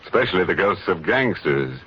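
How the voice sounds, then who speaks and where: A man's voice: sits low, sing-song, brisk, husky, heavily acted. An American man playing a macabre, joking horror host in a theatrical, sardonic 1940s radio style. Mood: ghoulishly playful. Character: macabre, joking male ghoulish host of a haunted sanctum. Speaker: host of a radio mystery series. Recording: muffled vintage 1940s radio recording, music.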